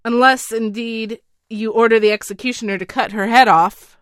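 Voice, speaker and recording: A woman's voice: fairly high pitch, fairly even intonation, moderate pace, dry recording, studio quality, voice pushed up, lightly acted. A woman voicing a frightened, guilty male royal counselor who speaks in a shaking voice, in a storybook reading. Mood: anxious. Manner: shaking, pleading voice.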